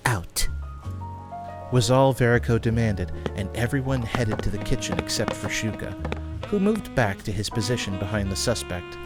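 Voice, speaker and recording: A man's voice: fairly low pitch, expressive, moderate pace, music in the background. A man reading scripted fantasy story narration as the narrator of an audio drama.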